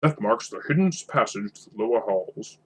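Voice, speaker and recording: A man's voice: mid-range voice, big pitch swings, moderate pace, vocal fry, slightly rough, full character voice, poor audio. A man voicing a stern old wizard from a storybook, speaking with emphatic authority. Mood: explaining.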